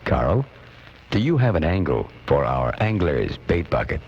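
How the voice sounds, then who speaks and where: A man's voice: rumbling low, sing-song, a little slow, a little mellow, slight background noise, exaggerated voice. A man playing a pompous, solemn call-in announcer with formal, grandiose, mock-serious radio delivery. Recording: muffled off-air cassette, music behind.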